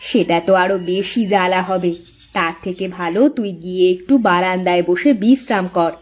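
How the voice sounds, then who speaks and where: A woman's voice: on the low side, fairly even intonation, a little fast, lightly acted. A woman voicing a protective, hardworking elder co-wife in a village cartoon, speaking in a firm, motherly, advising way. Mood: caring, firm. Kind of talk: cartoon voice acting.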